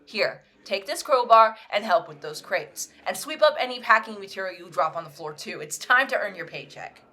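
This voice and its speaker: A woman's voice: mid-range voice, expressive, moderate pace, pushed and bright. A woman playing a bossy, short-tempered theater manager who scolds and barks orders in an audio drama. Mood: scornful.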